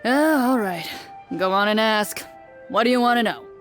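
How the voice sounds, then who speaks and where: A woman puts on a gruff, suspicious merchant man from a fantasy game. He grumbles with cynical, sardonic disdain, and music plays softly behind him. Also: on the high side, normal speed, lightly acted. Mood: grudging.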